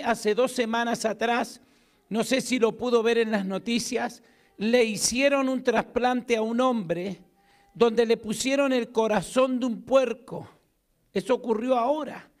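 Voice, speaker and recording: A middle-aged man with an Argentine accent preaches from a pulpit in a church hall. His voice sits high for a man. He speaks into a handheld mic and swings between emphatic calls for an amen and hushed, quiet asides. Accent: Argentine Spanish. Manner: emphatic preaching, rising loud to call for amens and dropping to a hush. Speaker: pastor. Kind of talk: sermon. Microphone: handheld mic at a pulpit, far camera.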